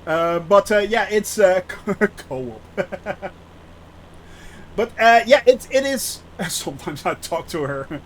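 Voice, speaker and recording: A man's voice: sits high, animated, slow pace, pauses often, a little hiss or hum. A man with a thick Dutch accent chatting casually on a live stream, hesitant with many restarts and frequent laughter. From Netherlands. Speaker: flight sim streamer.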